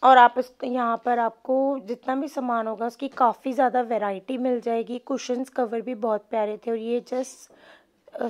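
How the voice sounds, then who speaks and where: A woman's voice: fairly high pitch, a little mellow. A woman narrates a shopping vlog. She talks in a casual, chatty way and drops English words in freely. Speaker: shopping vlogger.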